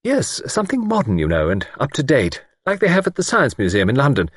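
A man reading an audiobook voices a fussy, anxious middle-aged spinster in a high, breathless manner. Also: wildly swooping pitch, fairly quick, breathy edge, dry recording, studio quality. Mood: chattering.

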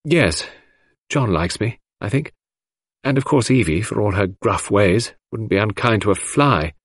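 A man voicing a wistful, teasing young orphaned woman in an audiobook reading, lifting his husky voice into a lilting girlish tone. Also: on the low side, lively intonation, unhurried, no room sound, clean studio sound. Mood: plaintive.

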